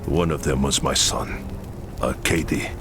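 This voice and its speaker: An actor playing a proud, solemn leader of a war-torn camp in a fantasy game cutscene, speaking earnestly with music behind. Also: male voice, extremely low pitch, steady tone, unhurried. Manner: earnest and solemn, pleading at times. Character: grave, proud Kislevite camp leader, middle-aged man. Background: orchestral game music.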